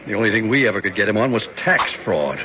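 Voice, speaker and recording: A man's voice: on the low side, animated, fast, lightly acted. A man playing a grave, worried senator. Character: worried older male senator and father. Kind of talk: 1970s radio drama acting. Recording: dull lo-fi radio recording with background noise.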